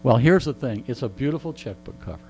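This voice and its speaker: A man's voice: medium pitch, expressive, fast, dark tone. A middle-aged or older man telling casual anecdotes in a wry, joking, digressive way. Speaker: interview guest and storyteller. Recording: clear background mains hum.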